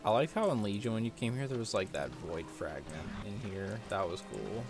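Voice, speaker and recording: A man's voice: on the low side, animated, normal speed. A young man giving casual, rambling game playthrough commentary, swearing freely. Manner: rambling, profane, reads quest text aloud. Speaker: gaming video creator. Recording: loud game music behind voice. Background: video game music.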